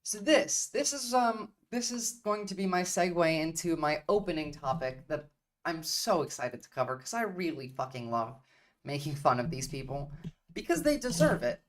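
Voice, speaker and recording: A woman's voice: low-pitched, sing-song, normal speed. A woman from Turkey giving blunt, profane livestream commentary on politics, repeating her points for emphasis. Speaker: streamer.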